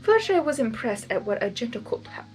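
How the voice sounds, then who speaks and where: A woman reads a story aloud in a fairly high, lively voice with wide swings in intonation. She is chatty and excitable, slipping into casual, giggly commentary. Faint music plays behind her. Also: brisk. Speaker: story reader. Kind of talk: expressive blind reading with casual asides.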